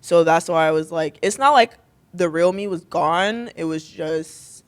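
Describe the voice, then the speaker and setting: A young adult woman with a deep voice, a podcast guest talking candidly and expressively in casual chat, swearing freely. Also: a little slow.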